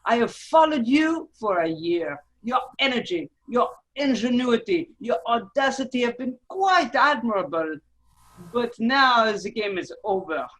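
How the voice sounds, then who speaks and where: Woman reading a cold villain agent in a radio play, highish voice, clipped and bossy, very formal. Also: animated, measured pace, a bit bright, rough recording. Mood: triumphant. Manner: clipped, commanding, coolly formal. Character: cold, menacing French revolutionary agent, male, commanding. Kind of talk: amateur radio play table read. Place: remote virtual table read.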